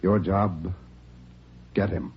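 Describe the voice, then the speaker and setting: A man's voice: deep voice, fairly even intonation, extremely slow. A man announcing in a stark, punchy dramatic way, on a muffled vintage radio broadcast.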